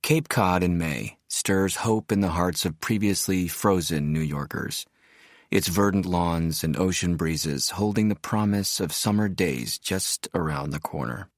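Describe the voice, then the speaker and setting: A man narrating an audiobook in the first person as a reflective architect, in a measured, descriptive storytelling manner. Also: sits low, no echo, clean studio sound. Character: reflective male architect narrating his arrival in a seaside town.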